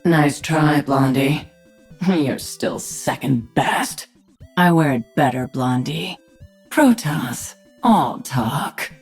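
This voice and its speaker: Game lead: battle-hardened alien queen, low husky register, dry sarcasm, absolute command, music bed. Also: animated, very slow, heavily acted. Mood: gloating, taunting. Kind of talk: video game character acting.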